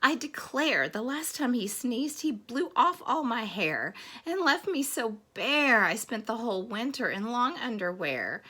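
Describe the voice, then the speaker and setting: A woman's voice: on the high side, sing-song, measured pace, few pauses, pushed and bright, full character voice. A woman voicing an indignant, self-pitying bear, declaiming plaintively in rhyme from a children's storybook. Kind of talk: children's storybook read-aloud.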